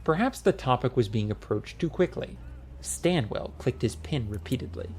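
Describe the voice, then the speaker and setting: A man narrating a comic fantasy audiobook in an expressive storytelling style. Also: mid-range voice, moderate pace, a little hiss or hum.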